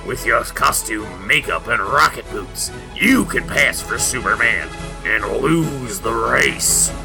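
Scheming comic-book crime boss, acted by a man, melodramatic and gloating, creaky, taking his time. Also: mid-range voice, expressive, slow, music in the background, pitched below the performer's own voice, poor audio.

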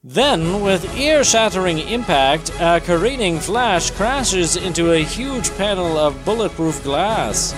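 Animated man narrating, higher-pitched voice, music behind. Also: slow pace, hardly any pauses. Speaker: podcast co-host reading narration. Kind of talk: dramatic comedic comic-book reading.

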